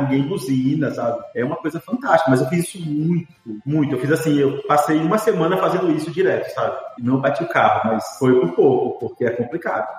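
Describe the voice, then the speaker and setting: A man from the northeast, a podcast guest telling stories in a relaxed, chatty way and laughing often. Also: a little high. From Brazil. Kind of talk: casual storytelling interview. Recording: echoey long reverb, faint music.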